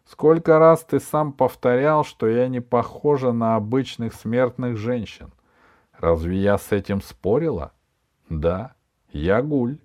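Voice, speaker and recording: A man voicing a proud, passionate female djinn in a storybook tale, calmly defiant. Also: medium pitch, slow pace, pitched above the performer's own voice. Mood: calm defiance. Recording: music behind the reading.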